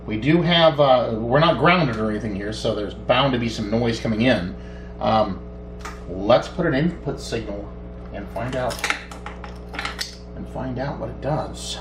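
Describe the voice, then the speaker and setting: A middle-aged man casually demonstrating electronics at a workbench, thinking aloud in an unhurried way as he works. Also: medium pitch, over loud music. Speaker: electronics repair hobbyist, host. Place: small indoor home workshop at a bench. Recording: roomy, distant mic with background noise.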